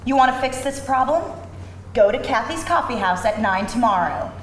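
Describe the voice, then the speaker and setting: A woman's voice: on the high side, expressive, normal speed, natural voice. A woman playing a domineering, scheming soccer mom with a curt, commanding, menacing manner at a screenplay table read. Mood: cold, commanding. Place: live event room before an audience. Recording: roomy live reading with faint noise.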